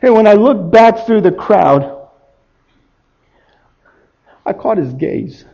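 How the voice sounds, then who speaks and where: A man performing a guilt-ridden disciple confessing his betrayal in a halting, emotional dramatic biblical monologue. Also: high voice, animated, gravelly, lo-fi sound, exaggerated voice. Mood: grieving, ashamed. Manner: halting, emotional, swinging from hushed to shouting.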